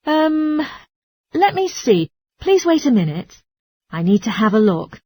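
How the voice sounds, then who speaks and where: A woman's voice: on the high side, sing-song, a little slow, a little mellow, no room sound. A woman playing a courteous, helpful transport information clerk in a scripted language-test dialogue.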